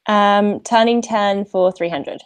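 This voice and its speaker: A woman's voice: medium pitch, slow, smooth. A woman casually answering as a quiz contestant, thinking aloud.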